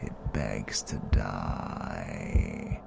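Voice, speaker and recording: A British man playing a menacing, pompous male supervillain in an audio roleplay, with a breathy voice that often drops to a whisper. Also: extremely low pitch, expressive, music in the background, full character voice. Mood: menacing, relishing cruelty. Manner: slow, deliberate, pompous and threatening. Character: menacing, mysterious male supervillain who drains life force. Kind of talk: ASMR audio roleplay monologue.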